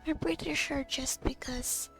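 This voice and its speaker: A woman's voice: sits high, fairly even intonation, a little slow, gravelly, fairly bright tone. A woman giving casual, offhand commentary in a murmur while she plays a video game. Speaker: gamer recording own playthrough. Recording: game music behind the voice. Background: video game music.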